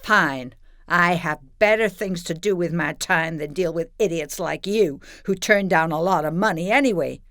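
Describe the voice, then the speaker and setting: A woman's voice: fairly low pitch, normal speed, fairly bright tone, no room sound, studio quality. A woman playing a scheming, haughty female corporate villain in an audio play, pompous and theatrical in manner. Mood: indignant.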